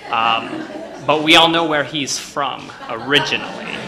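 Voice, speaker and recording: A man's voice: medium pitch, a little slow, creaky, heavy background noise. A man from Texas telling a wry, self-deprecating story to a live audience, chatty and loose, with plenty of ums. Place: museum event in front of an audience.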